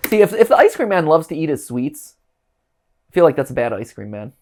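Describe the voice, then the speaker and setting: A man hosting a casual reaction chat, animated and jokey, now and then breaking into silly voices. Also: a little high, fairly quick. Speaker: host.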